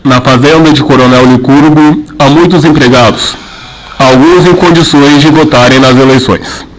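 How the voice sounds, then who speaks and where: A man narrating a radio drama in a formal, measured storytelling manner. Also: medium pitch, fairly even intonation, slight background noise, poor audio.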